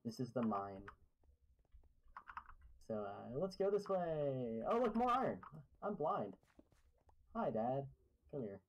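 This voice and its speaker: A young man teasing his friend through a gaming session, his voice swinging widely in pitch. He jokes and gets excited easily. Faint keyboard clicking and typing sit under the voice-chat audio. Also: slow, pauses often, slight background noise, low-quality recording. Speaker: Minecraft player and channel host.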